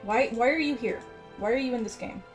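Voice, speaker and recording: A woman's voice: fairly high pitch, brisk. Sarcastic woman doing casual game commentary. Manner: sarcastic, sweary, exasperated. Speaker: gaming video host. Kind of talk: casual game commentary, reading quest text aloud. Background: game music.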